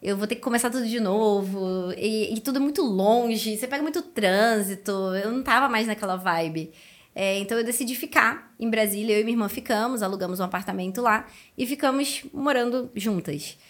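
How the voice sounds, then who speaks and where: A woman in her thirties with a Carioca Brazilian accent, telling her story casually as an interview guest, chatty and colloquial, quick to laugh. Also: a little high. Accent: Carioca Brazilian Portuguese. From Rio de Janeiro, Brazil. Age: 30s. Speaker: podcast guest, educator and speaker.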